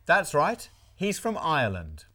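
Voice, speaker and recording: Very low-voiced woman teaching English. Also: huge pitch swings, a bit bright. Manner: clear, deliberate model pronunciation. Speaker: language teacher host. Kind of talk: bilingual English lesson presenting.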